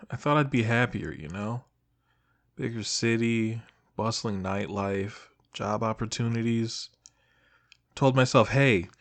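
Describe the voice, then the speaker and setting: Guy acting as a shy young man, your old best friend, low voice, casual n hesitant, audio roleplay. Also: gravelly. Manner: hesitant, casual, soft-hearted, trailing off. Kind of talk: intimate audio roleplay to the listener.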